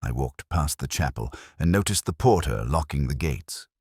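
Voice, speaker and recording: A man playing a weary, cerebral police inspector, narrating a detective audio drama in a measured, brooding, literary way with a gravelly voice. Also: rumbling low, animated, a little mellow, no room sound, clean studio sound, heavily acted. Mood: brooding, uneasy.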